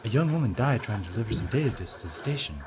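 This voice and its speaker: Man playing an earnest hero with lively intonation. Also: mid-range voice, rapid-fire, background noise, natural voice. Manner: earnest, explanatory. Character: earnest, principled male doctor, adventuring hero. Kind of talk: audio drama acting. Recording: loud music under the dialogue.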